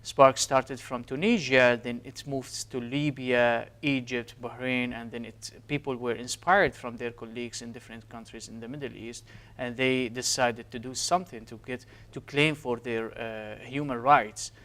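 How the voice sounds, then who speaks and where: A man's voice: medium pitch, steady tone, normal speed, a bit bright. A man in his thirties from Syria with a Syrian Arabic accent, answering interview questions earnestly with frequent hesitations. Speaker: guest, physician.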